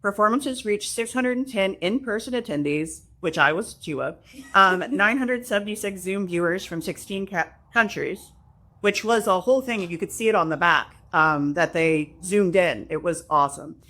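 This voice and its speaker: A woman's voice: mid-range voice, moderate pace, fairly bright tone. A woman, a library assistant director, reading prepared figures and adding friendly casual asides.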